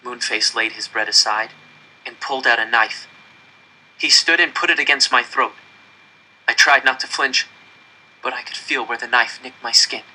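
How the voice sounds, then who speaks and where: A man narrates a story aloud with a very bright, forceful voice at a medium pitch. His delivery is earnest and suspenseful, shaped as dramatic first-person narration. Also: moderate pace, long pauses, husky, rough recording. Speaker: audiobook-style reader.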